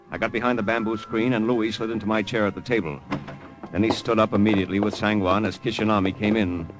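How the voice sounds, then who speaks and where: An actor playing a brash, tough American lieutenant who narrates an old-time radio adventure with slangy, blunt delivery and an American accent. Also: male voice, lowish voice, natural voice, rough recording. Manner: slangy, blunt, hard-boiled.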